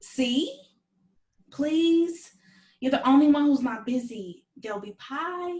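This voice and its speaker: A woman plays a breezy young mom who pushes and coaxes. Her voice is high and very lively in pitch, recorded in an echoey room for a script table read. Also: takes it slowly. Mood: wheedling. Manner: perky, persuasive. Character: Tiffany, breezy, pushy young mother wheedling her friend. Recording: roomy, echoing sound.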